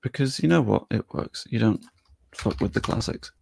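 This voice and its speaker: A man's voice: mid-range voice, lively intonation, moderate pace, creaky, darkish tone, a little hiss or hum. A young man live-streaming, chatting casually with his viewers and thinking aloud as he works on screen.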